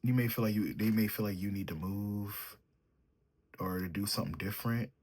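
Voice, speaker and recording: A young man reads tarot in a mellow, sleepy manner, musing out loud over each card. His voice sits low and has a husky, breathy edge. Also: normal speed. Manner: sleepy, mellow, thinks aloud while drawing cards. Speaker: tarot reader. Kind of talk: solo tarot reading, casual chat.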